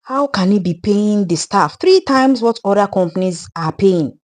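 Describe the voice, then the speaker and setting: A woman's voice: mid-range voice, animated, moderate pace, a little mellow, lightly acted. A woman voicing an arrogant, stingy Nigerian businessman in a folktale, scornful, emphatic and colloquial, with a Nigerian accent. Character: arrogant, stingy, callous businessman, brother-in-law.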